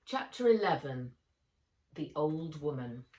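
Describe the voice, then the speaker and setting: A woman reading her own children's novel aloud as narrator, in measured, expressive storytelling. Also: sits low. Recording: roomy echo, distant microphone.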